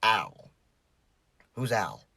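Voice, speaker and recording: A young man playing a troubled, jokey teenage boy in an audio drama, with halting, stammering speech that drops into breathy murmurs. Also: on the low side, sing-song, pushed and bright, no echo, clean studio sound, full character voice. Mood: teasing. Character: troubled, jokey teenage boy hiding pain behind mischief.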